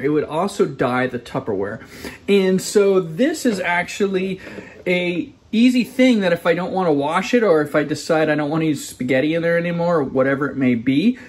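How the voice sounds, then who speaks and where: Guy in his 40s giving kitchen tips on his phone, kinda high voice, chatty, keeps saying okay. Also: darkish tone. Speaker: channel host. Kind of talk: casual how-to vlog. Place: home kitchen, indoors. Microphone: phone camera held at arm's length.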